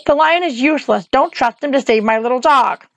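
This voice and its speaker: A woman's voice: high-pitched, fairly quick, no room sound. A woman voicing a frightened, earnest young girl with a breathless, pleading manner in an audiobook. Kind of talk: audiobook character acting.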